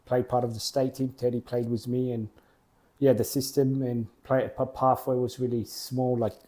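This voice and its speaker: A young man, a football program co-founder, answering interview questions plainly in short, halting clauses. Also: mid-range voice, flat delivery, dark tone. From Australia.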